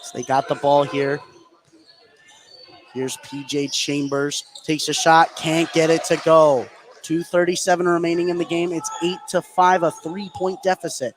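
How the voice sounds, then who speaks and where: A man giving excitable live play-by-play radio commentary on a basketball game, his voice rising on big plays. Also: fairly high pitch, a little slow. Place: live at a high school basketball gym. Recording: clear background noise, gym ambience.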